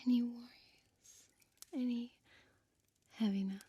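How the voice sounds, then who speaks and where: A woman playing a seductive, possessive vampire innkeeper in an ASMR audio roleplay, whispering softly with hypnotic, commanding slowness. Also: fairly high pitch, fairly even intonation. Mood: soothing, hypnotic. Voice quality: breathy, soft whisper. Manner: slow, hypnotic, coaxing, deliberate pauses.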